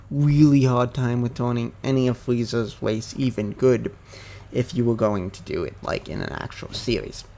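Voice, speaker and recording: Young male youtuber, unhurried, stumbling rambling delivery, middling pitch. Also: darkish tone. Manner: hesitant, many ums and self-corrections. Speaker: anime commentary youtuber. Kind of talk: casual rambling monologue.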